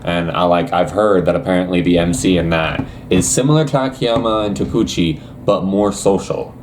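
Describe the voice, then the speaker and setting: A man's voice: low voice, normal speed, darkish tone, slight background noise. A young man casually reacting to anime news, reading quotes aloud and rambling with lots of hesitations.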